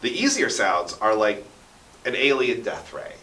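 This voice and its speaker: A man's voice: fairly low pitch, lively intonation, unhurried, bright, forceful tone, slight background noise. A man talking casually as an interview guest, explaining things and often checking in with "right?". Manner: explanatory, punctuates points with "right?". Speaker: interview guest, radio drama writer and sound effects performer. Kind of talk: casual animated interview talk. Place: radio station studio. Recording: roomy studio echo, distant mic.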